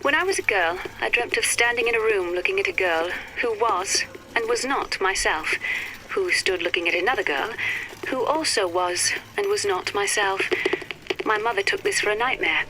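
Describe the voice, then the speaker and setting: An actress playing a cool, erudite, wry and melancholy physicist in a game audio log, speaking in precise, measured, formal phrases. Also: female voice, medium pitch, close to an everyday voice. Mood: wry, reflective. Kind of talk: scripted dramatic audio-log monologue for a game. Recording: noisy, crackly vintage audio-log recording.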